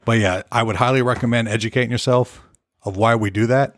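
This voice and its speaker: A hoarse man hosting his own podcast, chatting loosely and rambling from topic to topic. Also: mid-range voice, steady tone, a little fast, dry recording, clean studio sound. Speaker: podcast host. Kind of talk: casual solo podcast rambling. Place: Nashville.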